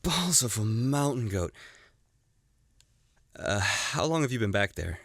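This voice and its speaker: A man playing a restless young blacksmith's apprentice in an animated drama, hesitant, awkward and earnest. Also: medium pitch, slow pace, dry recording, studio quality, full character voice. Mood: awkward, evasive. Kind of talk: naturalistic animated series acting.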